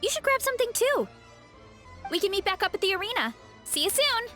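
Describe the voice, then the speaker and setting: A woman voicing a cheerful, laid-back young athletic woman in a video game, with a breezy, expressive and easygoing delivery. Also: extremely high pitch, brisk, soft background music, full character voice. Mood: earnest, hungry. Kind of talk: English video game voice acting.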